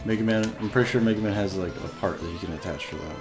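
A man's voice: fairly low pitch, very fast, over music. A young man hosting a let's play, chatting casually and swearing with frustrated outbursts. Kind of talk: casual gameplay commentary.

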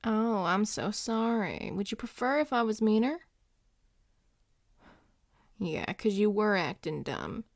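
A woman playing a grumpy but devoted male werewolf boyfriend, speaking intimately to the listener in a gruff, tender, halting way. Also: mid-range voice, lively intonation, dry recording, studio quality. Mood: teasing, gruff. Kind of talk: intimate audio roleplay, spoken to the listener.

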